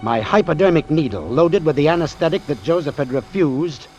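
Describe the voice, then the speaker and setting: Suspicious doctor-narrator , male actor, old-time radio suspense, terse delivery, animated pitch, music underneath. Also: a little fast, muffled recording, close to an everyday voice. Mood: tense, brooding. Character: wary, hard-boiled doctor narrating his sea adventure.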